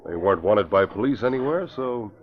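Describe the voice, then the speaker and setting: An actor playing an earnest, indignant police commissioner, in an old radio drama. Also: male voice, lowish voice, lively intonation, fairly quick, natural voice. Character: middle-aged man, indignant police commissioner. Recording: dull lo-fi old radio recording.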